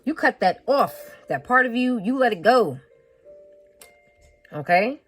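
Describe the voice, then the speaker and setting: A woman's voice: on the high side, animated, measured pace, a bit bright, husky, faint music behind. A woman giving a casual tarot reading in a frank, blunt way. She swears freely, laughs in asides, repeats phrases for emphasis and sniffles from a slight head cold. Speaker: tarot reader.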